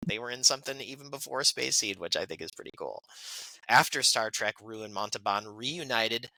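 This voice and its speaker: A man's voice: medium pitch, brisk, vocal fry, sharp tone, no room sound, rough recording. Chatty man reading birthday notes. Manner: chatty, reads lists with asides and fillers. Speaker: podcast co-host. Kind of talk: casual birthday segment reading.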